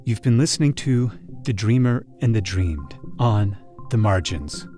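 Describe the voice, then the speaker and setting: A man's voice: fairly low pitch, expressive, unhurried, warm tone, faint music behind. A man hosting a podcast, reading a scripted closing announcement. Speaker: podcast host. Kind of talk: scripted outro narration.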